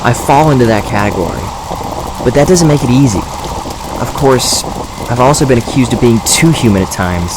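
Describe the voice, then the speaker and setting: A man playing a patient, reflective dragon in human form in an audio drama, speaking in a measured, thoughtful way. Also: mid-range voice, close to an everyday voice. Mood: musing, candid. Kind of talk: audio drama character acting. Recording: steady noise behind the voice.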